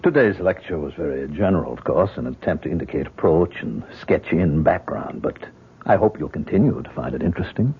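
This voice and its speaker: An actor playing a courteous, reassuring university professor with a measured delivery in a radio drama. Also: male voice, rumbling low, animated, slightly rough, full character voice. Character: smooth-talking, reassuring male university professor with secrets. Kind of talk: 1970s radio drama acting. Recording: dull old radio broadcast.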